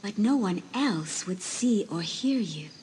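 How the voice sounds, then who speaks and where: Woman doing a hushed ghost girl. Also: mid-range voice, expressive, slow, slight background noise, low-quality recording. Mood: coaxing. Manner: gentle pleading. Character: faint ghostly teenage girl spirit in a mirror.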